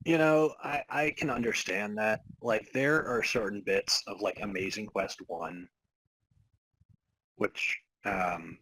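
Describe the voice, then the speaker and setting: A man's voice: on the low side, fairly even intonation, slow pace, sharp tone, breathy edge, no echo, low-quality recording. A man talking casually as a podcast co-host. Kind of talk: casual chat.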